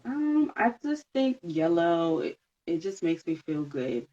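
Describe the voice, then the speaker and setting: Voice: mid-range voice, very animated, measured pace, dark tone. A podcast host asking casual questions in an easygoing, agreeable way with frequent laughter. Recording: slightly dull lo-fi audio.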